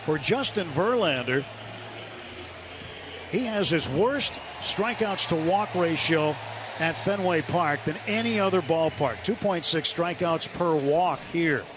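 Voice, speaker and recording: A man does live baseball play-by-play for radio in a fairly high male voice. He describes the action as it unfolds at the ballpark, with loud stadium noise behind him. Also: takes it slowly. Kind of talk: live radio sports commentary. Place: stadium broadcast booth. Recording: noisy outdoor ballpark broadcast sound. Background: loud ballpark ambience.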